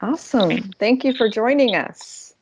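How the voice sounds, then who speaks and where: A woman hosts an online class over a video call, greeting each participant in turn. She speaks slowly, in a slightly high voice. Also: animated, a little mellow, a little hiss or hum. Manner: friendly, welcomes each participant with light laughs. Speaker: host.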